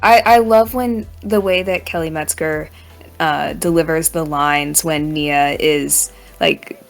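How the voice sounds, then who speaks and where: A woman with a lowish voice and plenty of vocal fry chats casually about a show. She pauses hesitantly mid-thought, over faint music. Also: fairly even intonation. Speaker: podcast panelist. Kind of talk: casual fan chat. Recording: music playing behind voice.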